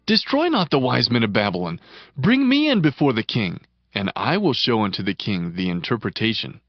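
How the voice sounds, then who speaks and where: An actor playing a devout, solemn Hebrew prophet in a dramatized scripture audiobook, speaking in reverent, emphatic oratory. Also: male voice, medium pitch, sing-song, normal speed, no room sound. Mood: urgent. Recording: dull band-limited audio under prominent music. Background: music.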